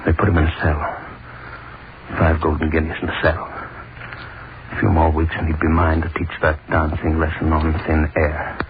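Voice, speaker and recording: A brooding hangman who talks in slow, broken fragments, fixated on his rope. His voice sits at the very bottom of the range and barely moves. It comes through a thin, crackling 1930s radio broadcast. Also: a bass voice, monotone, narrow, tinny audio. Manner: slow, broken, obsessive inner monologue. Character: brooding male hangman, obsessed with his noose. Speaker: narrator. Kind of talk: 1930s radio horror acting.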